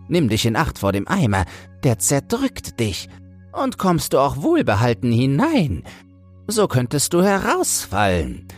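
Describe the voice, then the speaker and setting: A man's voice: mid-range voice, breathy edge, voice pushed up, heavily acted. A man voicing an old mother toad, proud and secretive, with a weighty, admonishing way of talking in a storybook reading.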